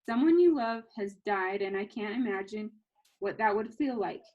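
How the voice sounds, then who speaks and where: A woman's voice: mid-range voice, expressive, normal speed, narrow, tinny audio, natural voice. A woman voicing a reluctant, awkward thirteen-year-old boy in a storybook, with a hesitant manner. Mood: earnest.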